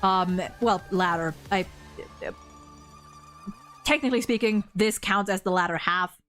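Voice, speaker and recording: A woman hosting a livestream, chatting casually and excitably and swearing freely. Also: mid-range voice, measured pace, soft background music. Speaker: streamer host.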